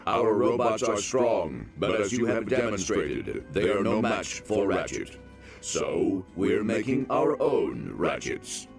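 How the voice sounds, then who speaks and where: A scheming male villain from an animated game, low in pitch. He speaks deliberately, smug and boastful with a resentful edge, over clear background music. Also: heavily acted.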